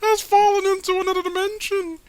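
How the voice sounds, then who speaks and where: Shrill, pompous villain leader. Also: male voice, little pitch movement, darkish tone, poor audio. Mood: grandiose. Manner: pompous, drawn-out oratory. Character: villain leader rallying his brethren, grandiose, high wailing voice. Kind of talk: comic read-aloud of a webcomic.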